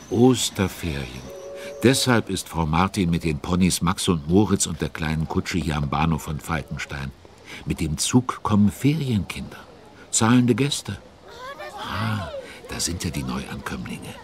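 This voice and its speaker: Chatty curious storyteller for a kids radio play, low voice, really animated. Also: male voice, measured pace, a little hiss or hum. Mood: lively, confiding. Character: chatty, curious male storyteller commenting on the action. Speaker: narrator. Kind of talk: children's radio play narration.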